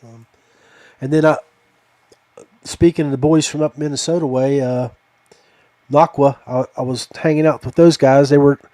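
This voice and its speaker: A middle-aged American man hosting a casual podcast chat in a halting, folksy, familiar way. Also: a little high, steady tone, mellow, no echo. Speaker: podcast host.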